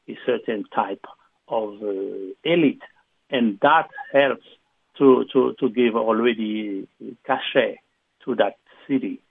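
A man speaks in a low, gravelly and husky voice. He talks haltingly, full of fillers and restarts, as an interview guest. His voice comes through a tinny phone line. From Haiti. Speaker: guest, historian and literature professor. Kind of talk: conversational interview answers.

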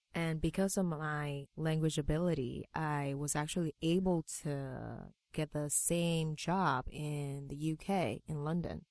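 A woman's voice: low-pitched, darkish tone, dry recording, studio quality. A young adult woman from Taiwan with a Taiwanese accent, speaking English as her second language, answering interview questions earnestly. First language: Mandarin. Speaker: guest.

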